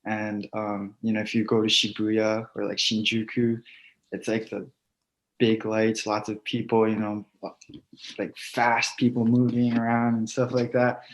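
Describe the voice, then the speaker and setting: A young man who grew up in Hawaii, talking casually in an online interview about his football plans, soft-spoken with many fillers. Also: fairly low pitch, completely flat delivery, unhurried. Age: young adult. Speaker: football player guest. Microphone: video call. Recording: dull lo-fi video call audio.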